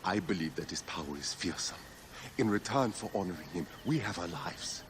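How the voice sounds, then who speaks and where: An actor playing a fervent, devout priest of a heretical sun cult in a video game, earnest and pleading. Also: male voice, low voice, expressive, moderate pace, background noise. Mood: devout, measured. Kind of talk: dramatic video game cutscene acting.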